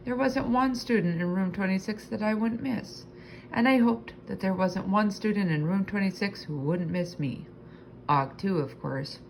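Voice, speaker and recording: A woman reading a children's book aloud in an expressive narrating style, stretching key words, with faint background noise. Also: mid-range voice, normal speed, vocal fry, low-quality recording. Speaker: storybook reader.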